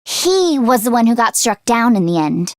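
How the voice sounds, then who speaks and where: A woman voicing an excitable, earnest teenage girl inventor who gets easily flustered, in an expressive anime-style video game performance. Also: on the high side, normal speed, no echo, clean studio sound. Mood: surprised, brisk. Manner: expressive, swinging from deadpan grumbling to frantic outbursts.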